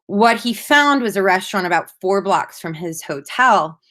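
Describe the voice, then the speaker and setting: A woman delivering a prepared marketing presentation as a conference speaker, in a measured, scripted-sounding way with emphatic phrasing. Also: medium pitch, lively intonation, a bit bright.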